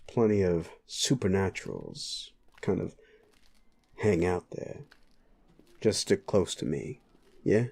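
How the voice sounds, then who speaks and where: A man acts out a sleazy male werewolf boss for an audio roleplay, speaking straight to the listener. The voice sits low and moves very slowly, drawling each jab. He is sardonic and bullying, with a gruff, flirtatious edge. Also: sing-song, a little mellow, full character voice.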